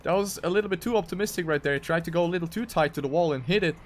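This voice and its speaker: A man's voice: a little high, rapid-fire, a little hiss or hum. A man doing live esports commentary on a racing game, with dramatic, emphatic phrasing.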